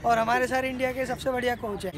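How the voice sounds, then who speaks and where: Young man, upbeat vlogger, high voice, phone held at arm's length, outdoor track, crowd noise. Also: fairly even intonation, quick pace. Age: young adult. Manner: chatty, upbeat, addresses viewers as friends. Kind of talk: casual vlog commentary. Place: outdoor athletics stadium track. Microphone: phone camera at arm's length.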